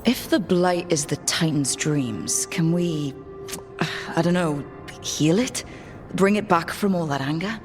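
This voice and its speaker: A woman's voice: fairly low pitch, measured pace, music in the background, natural voice. A woman voicing a compassionate, level-headed leader of a fantasy band in a video game cutscene. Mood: sympathetic.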